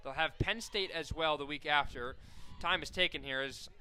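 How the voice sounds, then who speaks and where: A young man calling softball play-by-play for college radio, correcting himself often and surging loud on big plays. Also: a little high, normal speed, clear, resonant tone, rough recording. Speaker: play-by-play announcer.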